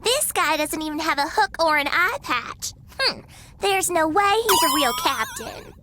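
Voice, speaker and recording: A woman's voice: very high voice, lively intonation, unhurried, bright, forceful tone, slight background noise, full character voice. A woman voicing a brash, feisty, indignant little girl in a video game, with a pouty, blunt manner. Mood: skeptical, mocking. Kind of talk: cartoonish video game voice acting.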